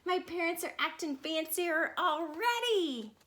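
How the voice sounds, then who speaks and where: Woman reading as a high-voiced, dramatic little fancy girl. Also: expressive, a little slow, background noise. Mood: excited. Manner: dramatic, explaining grand words. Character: enthusiastic little girl who loves everything fancy, storybook narrator.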